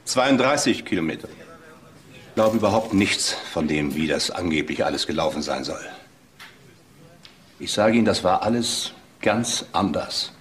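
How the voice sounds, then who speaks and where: A man's voice: sits low, expressive, normal speed. An actor playing an embittered middle-aged father, insistent and exact, stressing his points in a crime radio drama. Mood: insistent, bitter. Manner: emphatic, exact, repetitive.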